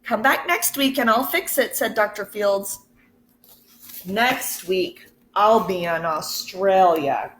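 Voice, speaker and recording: A woman teacher reading a picture book aloud to children in an expressive, deliberate way, pausing to put questions to her listeners. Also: mid-range voice. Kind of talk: storybook read-aloud.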